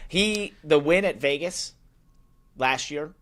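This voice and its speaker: A man's voice: a little high, slow, a bit bright. A man co-hosting a motorsports podcast in casual, conversational sports discussion.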